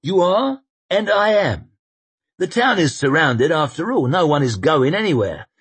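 A man's voice: a little high, very animated, unhurried, a bit bright, husky, pitched above the performer's own voice, full character voice. A man playing a sardonic old general. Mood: mocking. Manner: drawling, sardonic. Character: sardonic old male general, dry and mocking.

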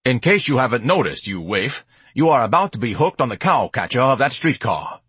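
A man's voice: medium pitch, big pitch swings, a little fast, fairly bright tone, husky, no room sound, pitched above the performer's own voice, heavily acted. A man voicing a pompous, indignant, gluttonous educated man with grandiose, haughty diction in an audiobook. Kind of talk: audiobook character acting.

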